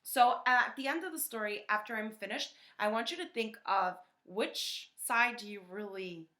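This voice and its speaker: Bright-voiced woman teaching a story lesson. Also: fairly high pitch, moderate pace. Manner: instructive, asks questions, frequent checking tags. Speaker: teacher reading aloud to children. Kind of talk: storybook read-aloud with lesson explanations.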